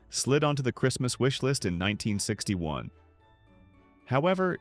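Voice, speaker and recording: A man narrates a scripted documentary in a fairly low voice. His intonation moves widely, yet the delivery stays measured and polished like practiced storytelling. Music plays behind him.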